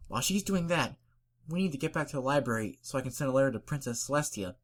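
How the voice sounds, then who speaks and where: Female unicorn leader acted by a narrator: raised pitch, earnest, sensible, take-charge, storybook. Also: lively intonation, fast, natural voice. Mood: urgent.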